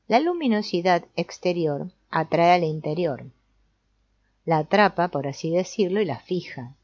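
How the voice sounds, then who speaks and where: A woman reading an audiobook as narrator in a measured, explanatory way. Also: lowish voice, a little mellow. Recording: dull, lo-fi sound.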